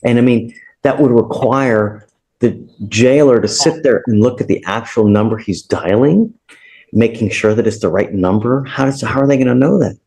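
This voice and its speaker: An American man speaking as a judge in a courtroom discussion, plain-spoken and firm, often halting and repeating himself. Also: medium pitch, creaky, darkish tone, rough recording.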